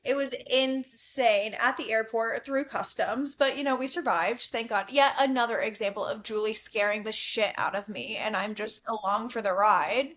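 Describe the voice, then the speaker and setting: A woman's voice: a little high, moderate pace, hardly any pauses, fairly bright tone, slight background noise. A young adult woman hosting a casual podcast chat, talking in a gushing, slangy way peppered with "like". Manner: gushing, slangy, heavy use of "like". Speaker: podcast host.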